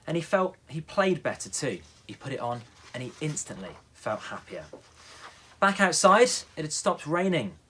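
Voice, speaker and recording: A man's voice: medium pitch, fairly bright tone, slightly rough. A male radio newsreader narrating a children's bedtime story.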